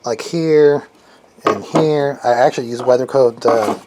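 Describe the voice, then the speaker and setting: A man giving a casual, unscripted how-to as a hobbyist builder, halting and thinking aloud while he works with his hands. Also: mid-range voice, very noisy.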